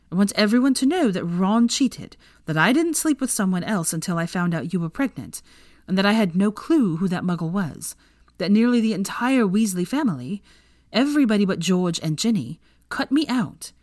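A woman's voice: medium pitch, big pitch swings, normal speed, dry recording, clean studio sound, pitched above the performer's own voice, lightly acted. A woman voicing a shrewd and resolute young woman with a coolly assertive manner in a storybook.

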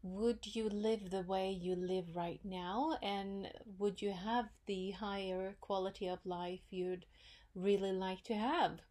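A woman with a Norwegian accent hosting her own podcast, talking in a thoughtful, unhurried way with many pauses and fillers. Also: mid-range voice, fairly even intonation, dark tone. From Norway. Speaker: podcast host. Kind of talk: solo reflective podcast talk.

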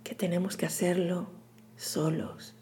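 A woman leading a guided meditation in a soothing, hushed, breathy delivery with long pauses. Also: on the low side, level tone, in a whisper. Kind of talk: guided meditation, scripted narration.

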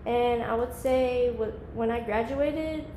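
Young woman, relaxed rambling chat. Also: a little high, slow pace, echoey room, a little hiss or hum, rough recording. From Georgia, US. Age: 20s. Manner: laid-back, rambling, lots of "like". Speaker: podcast guest. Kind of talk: casual podcast chat.

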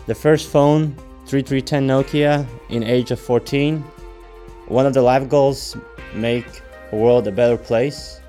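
A man hosting an interview podcast, speaking in a halting way and often restarting his phrases. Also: medium pitch, fairly even intonation, warm tone, soft background music. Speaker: podcast host.

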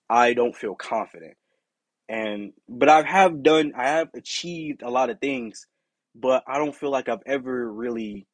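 A young man with a deep, raspy voice hosting his own podcast, talking in a candid, rambling way with lots of "you know" and "like". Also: no echo. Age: young adult. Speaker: podcast host. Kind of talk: casual solo podcast monologue.

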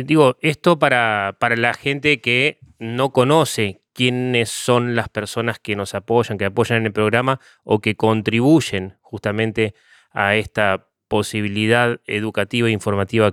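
A man's voice: fairly low pitch, fairly even intonation, moderate pace, fairly bright tone, clean tone, no room sound, studio quality. A man with an Argentine Spanish accent hosting a program, thanking his guest in a friendly way. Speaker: host. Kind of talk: interview sign-off.

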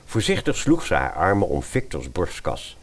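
A man narrating a scripted story in an expressive storytelling style. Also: sits low, normal speed.

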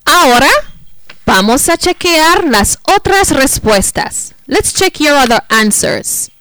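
A woman teaching a Spanish lesson to children, speaking slowly and repeating each point in Spanish and English. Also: sits high, sing-song, a bit bright, dry recording. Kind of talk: bilingual classroom lesson.

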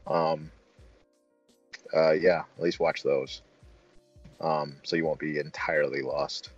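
A man's voice: very deep voice, little pitch movement, slow, slightly rough. A man hosting a casual film review chat, talking in an informal, joking way. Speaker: review show host. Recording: slightly dull, roomy sound with faint music.

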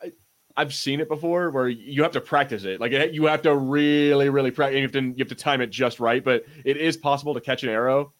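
A man's voice: mid-range voice, fairly quick. A man hosting a podcast, chatting casually about TV shows in an informal way with some mild swearing. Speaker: podcast host.

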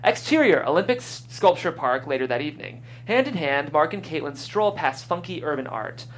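A woman's voice: deep voice, lively intonation, brisk, a little hiss or hum. A woman hosting a podcast and reading scripted stage directions as narrator. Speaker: host and stage-direction reader.

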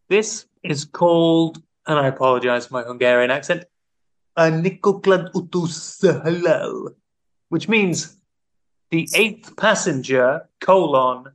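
A man's voice: mid-range voice, fairly bright tone. A man hosting a comedy podcast quiz, teasing his co-host in casual banter. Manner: playful, teasing quizmaster.

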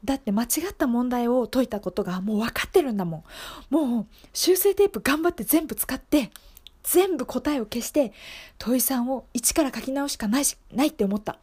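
High-voiced earnest young woman teacher , acted by a woman. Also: animated, fairly quick, a little mellow, breathy edge. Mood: desperate, animated. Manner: confiding, emphatic, repetitive storytelling. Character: earnest young female schoolteacher telling her exam story. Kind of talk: improvised radio drama monologue.

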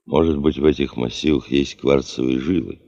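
Very deep, gravelly, commanding male boss. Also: steady tone, moderate pace, mellow, heavily acted. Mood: businesslike. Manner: commanding, curt, probing. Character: stern, sardonic male expedition chief questioning a subordinate. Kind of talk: Russian radio play acting. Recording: muffled archival radio recording.

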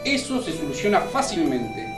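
Fussy argentine fairy godfather, theatrical, flippant, pitch jumping around, bit of music behind. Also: big pitch swings, moderate pace. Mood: kindly, fussy. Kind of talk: comic radio theatre.

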